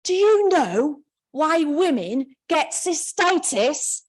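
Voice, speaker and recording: Brief: blunt, accusing older mother, dry humour, voice pushed higher , read by a woman. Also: big pitch swings, slow, exaggerated voice.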